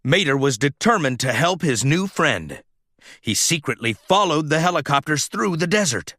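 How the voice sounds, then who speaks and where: A man's voice: fairly high pitch, very animated, moderate pace, pushed and bright, no echo, clean studio sound. A man reading a children's storybook aloud as scripted narration. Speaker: storybook reader.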